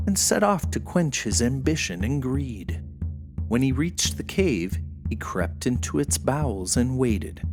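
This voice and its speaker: A storyteller giving a dramatic scripted narration of a folktale, over music. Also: medium pitch.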